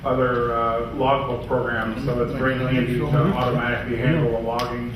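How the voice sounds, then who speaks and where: A man's voice: mid-range voice, flat delivery, fairly quick, a loud crowd. A man making an informal technical remark as a member at a club meeting. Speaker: club member adding a comment. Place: indoor club meeting room. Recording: echoey room from far off, clearly noisy.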